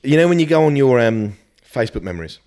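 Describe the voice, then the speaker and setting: A man's voice: medium pitch, sing-song, quick pace, darkish tone. A British man in his early thirties chatting casually as a podcast guest, blunt, sweary and self-deprecating. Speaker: podcast guest, fitness coach. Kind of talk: casual chat.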